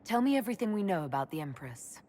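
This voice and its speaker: An actress playing an earnest, decisive young elf leader in a video game, speaking in a direct way. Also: female voice, mid-range voice, sing-song, fast talker, a little mellow, a little hiss or hum, lightly acted. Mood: inquisitive, decisive. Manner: direct, inquisitive. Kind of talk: video game cutscene acting.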